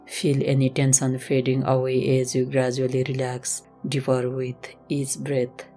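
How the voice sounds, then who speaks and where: A woman leads a guided meditation in a very deep voice with an even, nearly flat melody. She gives gentle, measured instructions as a yoga teacher would, with faint music behind her.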